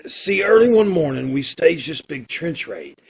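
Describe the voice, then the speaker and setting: Male actor as protective condemned prisoner, husky, slightly high-pitched, hesitant folksy talk, old-time radio drama. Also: big pitch swings, full character voice. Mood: excited, urgent. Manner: hesitant, halting, folksy and informal.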